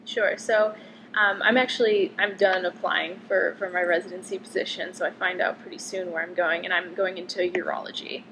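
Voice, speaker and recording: A woman's voice: lowish voice, steady tone, fairly quick, a bit bright, low-quality recording. Chatty young woman with background noise. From Connecticut. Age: 20s. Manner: chatty, frequent "um" and "like" fillers. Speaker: interviewee, medical student. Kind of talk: casual interview answers.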